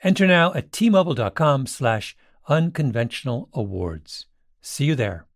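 A man's voice: medium pitch, animated, moderate pace, noisy background. A man giving a conversational, scripted advertisement read. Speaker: advertisement reader.

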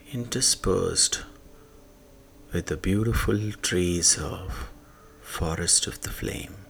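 A man guiding a sleep meditation in a hushed manner, leaving long pauses and letting phrase endings fade into breath. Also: low-pitched, creaky, a little hiss or hum. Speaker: meditation guide. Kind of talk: slow guided sleep meditation. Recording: soft music behind the voice. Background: soft music.

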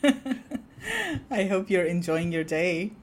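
A woman's voice: on the low side, sing-song, takes it slowly, a crowd in the background. Upbeat woman hosting a webinar. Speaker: webinar host. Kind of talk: webinar interview.

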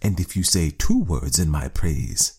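A man voicing a proud, sly wild cat in a storybook, drawing out his words slowly. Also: rumbling low, sing-song, warm tone, breathy edge, heavily acted. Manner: slow, drawn-out and sly.

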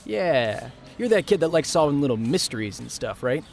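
Entitled male heir, higher-pitched, smug and irritable, audio drama with ambient noise. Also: very animated, moderate pace, gravelly. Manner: smug, impatient. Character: entitled, irritable male heir to small-town family fortune. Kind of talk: naturalistic audio drama dialogue.

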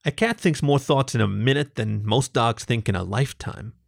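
A man's voice: medium pitch, moderate pace, vocal fry. A man voicing a nervous, lovestruck dog comedian from a story, with a self-deprecating, stammering, joking delivery. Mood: earnest.

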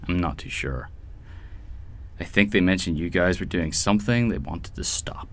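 A man playing a nervous, hapless hired pawn held prisoner in an audio drama, speaking haltingly and with resignation. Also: deep voice, expressive. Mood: resigned, hesitant. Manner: hesitant, halting.

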